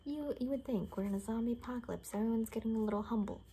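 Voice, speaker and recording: A young woman in a whispered ASMR roleplay, rambling casually in a soft, breathy, chatty way. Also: a little high, very fast, a little hiss or hum. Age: young adult. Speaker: ASMR creator playing an attendant. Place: small bedroom indoors.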